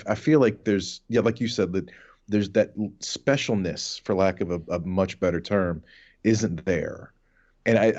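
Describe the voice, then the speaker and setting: Deep voiced guy in his 30s, rambly sweary podcast chat, kinda muffled recording. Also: a little mellow. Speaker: podcast co-host. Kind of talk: casual reminiscing podcast chat.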